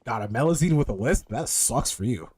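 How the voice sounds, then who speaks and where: A man's voice: mid-range voice, expressive, fairly quick, darkish tone, slightly rough, no echo. Dry, close-miked joking male streamer. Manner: joking, wry. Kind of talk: casual gameplay commentary.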